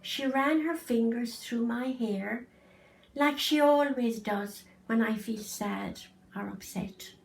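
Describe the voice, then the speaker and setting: Woman, children's storyteller. High voice, unhurried, dramatic delivery. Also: creaky, poor audio. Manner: slow, dramatic reading with hushed and emphatic phrases. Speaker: story reader.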